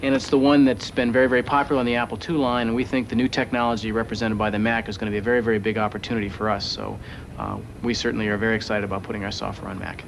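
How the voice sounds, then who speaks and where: A man speaking as a software company spokesman in an informal promotional testimonial. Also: fairly low pitch, flat delivery, very fast, gravelly, a little hiss or hum, rough recording.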